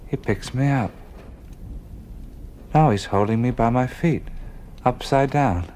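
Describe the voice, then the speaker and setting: An actor playing a bewildered, earnest adventurer with an American accent in a radio drama, hesitant and stammering. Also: male voice, mid-range voice, takes it slowly, a little mellow, a little hiss or hum, close to an everyday voice. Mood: dazed.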